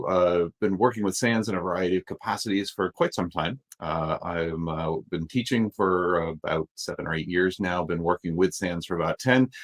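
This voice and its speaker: A man hosting a live stream and presenting as a course author, upbeat and chatty with many fillers. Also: low-pitched. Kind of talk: casual webinar talk. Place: home office.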